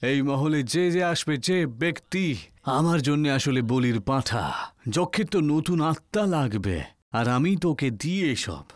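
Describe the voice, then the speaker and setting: A menacing male guide in a horror tale, acted by a man. He gloats and taunts with deliberate, ominous delivery, in a dry close recording. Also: fairly high pitch, lively intonation, no echo. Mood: gloating menace. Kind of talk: audio horror story acting.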